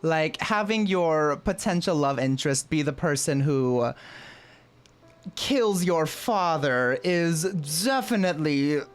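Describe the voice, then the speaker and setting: A woman's voice: deep voice, expressive, slow pace, clear, resonant tone, faint music behind. A woman streamer giving casual reaction commentary while playing a game.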